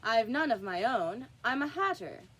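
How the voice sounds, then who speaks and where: A woman's voice: a little high, big pitch swings, a little slow, a bit bright, full character voice. A woman voicing a nervous, apologetic male hatter, a humble witness in a storybook. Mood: defensive.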